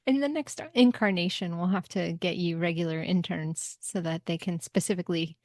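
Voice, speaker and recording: A woman with a fairly low, gravelly voice chats casually as a podcast guest. She speaks encouragingly and offers praise. Her voice is close and dry. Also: normal speed, darkish tone, no room sound. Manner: encouraging, complimentary. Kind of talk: casual chat.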